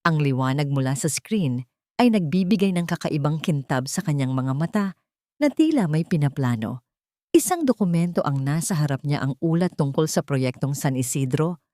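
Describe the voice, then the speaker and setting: A woman's voice: fairly low pitch, darkish tone, dry recording, clean studio sound. A woman with a Filipino accent narrating a dramatic scripted story. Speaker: narrator of audio stories.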